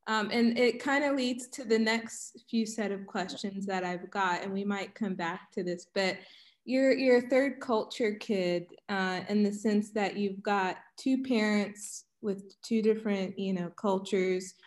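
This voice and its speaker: A woman's voice: medium pitch, a little slow. Curious woman hosting an interview. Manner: curious, frequent fillers. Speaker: podcast host. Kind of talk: casual interview.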